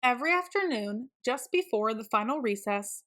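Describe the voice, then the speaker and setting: A woman reading a children's storybook aloud in an expressive, deliberate way with dramatic emphasis. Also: a little high. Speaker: children's book reader.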